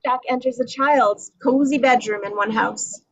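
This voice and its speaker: A woman's voice: on the high side, normal speed, crowd noise, low-quality recording. A woman reading screenplay action descriptions at a table read in a dramatic storytelling manner. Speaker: reader of stage directions. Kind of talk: scripted narration at a table read.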